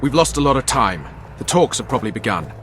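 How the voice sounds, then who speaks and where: An actor playing a gruff, defiant military commander in a fantasy game, curt and commanding. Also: male voice, medium pitch, very animated, quick pace, a bit bright, faint music behind. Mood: businesslike. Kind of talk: dramatic game dialogue.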